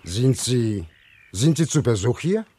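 An evasive, courteous little gentleman in a sixties radio play. His voice is husky and swings up and down in pitch, recorded dry and close. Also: expressive, takes it slowly, dry recording. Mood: guarded politeness. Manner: polite, guarded, formal. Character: small bearded man, guardedly polite and evasive. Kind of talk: 1960s German radio drama acting.

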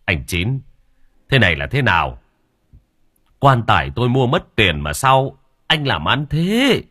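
A man playing a hot-tempered older villager, scolding hard with pitch rising and falling, husky low voice. Dry close sound. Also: sing-song, a little fast, a bit bright, full character voice. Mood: angry.

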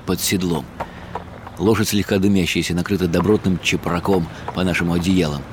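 A man's voice: low voice, steady tone, brisk, slight background noise, natural voice. An actor playing a weary, wry middle-aged writer who narrates his own story in ironic inner monologue for a radio drama. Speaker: narrator and lead character.

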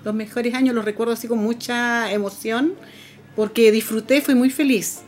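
Middle-aged woman, lively storyteller. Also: fairly high pitch, normal speed. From Camiña, northern Chile. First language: Aymara. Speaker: Aymara language teacher, interviewee. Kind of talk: personal story on a radio program.

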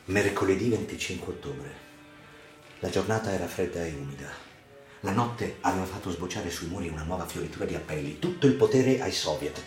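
A man narrates a radio drama as literary reportage, in a measured, theatrical, solemn delivery with deliberate pauses. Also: sits low. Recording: reverberant voice over music. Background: music.

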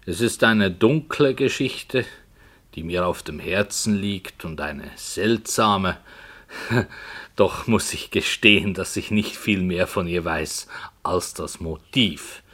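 A man acting a brooding writer who tells a dark story in a halting, deliberate, emphatic way in a radio play. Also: low-pitched, lively intonation, full character voice. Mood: brooding, musing.

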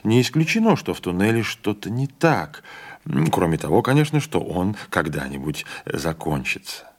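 A man voicing an older train conductor who speaks quietly, slowly and firmly with calm dignity. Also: low-pitched. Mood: calm grave.

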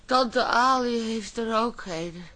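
A sick, dazed woman in a radio comedy. She rambles drowsily, very slowly, in a fairly high voice. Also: pushed and bright. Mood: dazed, rambling. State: ill, dazed. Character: feverish, confused, woozy woman ill in bed. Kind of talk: comic radio drama acting.

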